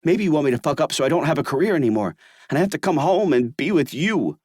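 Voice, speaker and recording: A man voicing a distraught young tennis pro, yelling. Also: a little high, expressive, unhurried, dry recording, studio quality, pitched above the performer's own voice. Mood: furious. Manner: anguished yelling, swearing.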